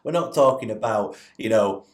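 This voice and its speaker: A man's voice: lowish voice, normal speed. A man speaking as a podcast guest, explaining things conversationally and posing rhetorical questions. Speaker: podcast guest, digital influence facilitator. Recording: roomy, echoing indoor sound.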